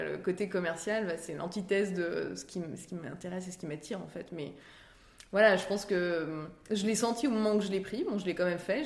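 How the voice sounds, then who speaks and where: A woman, telling her story in a chatty, informal, conversational way with self-deprecating asides. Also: fairly low pitch. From France. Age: 30s. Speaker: interview guest, career-change coach and author. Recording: roomy, echoing sound.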